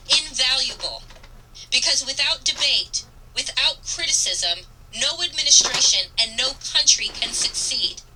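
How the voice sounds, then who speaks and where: A woman's voice: sits high, distant mic, background noise. A woman radio host giving impassioned political commentary, reading aloud and stressing key phrases with earnest, emphatic repetition. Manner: emphatic, earnest, deliberate delivery with dramatic repetition. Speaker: radio talk show host.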